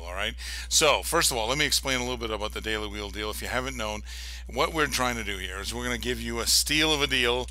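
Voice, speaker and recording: A man hosting a live diecast car auction, giving a hyped, pushy sales pitch. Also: sharp tone. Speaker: auction host.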